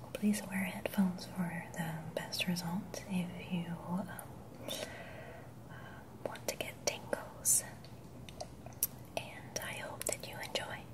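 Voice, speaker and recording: Slow whispering Dutch woman, ASMR. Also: fairly low pitch. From Netherlands. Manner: slow, gentle whisper close to the ears. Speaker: ASMR creator. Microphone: binaural microphone.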